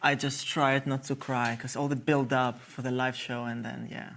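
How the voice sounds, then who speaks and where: A man's voice: mid-range voice, little pitch movement, moderate pace, vocal fry, a bit bright, a little hiss or hum. A man in his twenties, from Ukraine and raised in Italy, talking about dancing in an earnest, enthusiastic way. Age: 20s. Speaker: professional dancer. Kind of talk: guest interview and to-camera intro. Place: TV studio.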